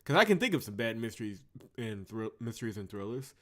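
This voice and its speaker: A man's voice: on the low side, big pitch swings, brisk, fairly bright tone. A man doing sarcastic, exasperated game commentary, ranting with swear words, with music behind him. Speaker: visual novel playthrough host. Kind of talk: game commentary and reading dialogue aloud.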